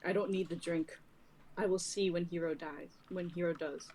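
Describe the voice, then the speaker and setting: Woman playing a husky, dark-voiced fantasy seer. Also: fairly low pitch, steady tone, moderate pace, lightly acted. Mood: resolute. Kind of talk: dramatic reading of a fantasy script.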